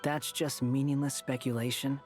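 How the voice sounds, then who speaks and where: Game role: man as cynical, aloof young male, mid-pitched, blunt delivery over soft music. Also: little pitch movement, moderate pace, lightly acted. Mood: cool, challenging. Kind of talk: game voice acting dialogue. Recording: music behind the voice. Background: background music.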